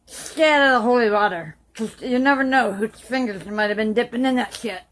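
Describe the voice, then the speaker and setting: A woman's voice: on the high side, brisk, fairly bright tone, lightly acted. A woman playing a slurring, foul-mouthed drunk aunt. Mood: mocking. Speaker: sex health news reporter. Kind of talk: crude comedy news bit.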